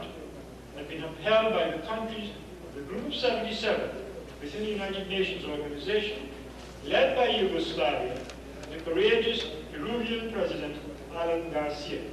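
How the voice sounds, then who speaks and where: A man from Guyana speaks high and formally at a conference, slipping in wry jokes between the letters he reads. He sounds distant, caught far from the mic. A loud echo fills the hall around him, with steady noise underneath. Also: normal speed. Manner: wry, joking asides to the audience. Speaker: conference speaker reading messages. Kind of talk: formal address, reading letters aloud.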